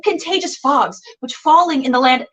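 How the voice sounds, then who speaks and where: A woman playing an aggrieved fairy queen in a measured, declamatory Shakespearean monologue reading. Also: sits high, fairly bright tone. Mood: reproachful. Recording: distant camera microphone.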